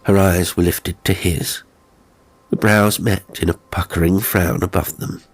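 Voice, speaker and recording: A man reading dramatic scripted narration of a classic horror story in a measured, expressive, hushed delivery. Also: low-pitched, dry recording. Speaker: audiobook narrator.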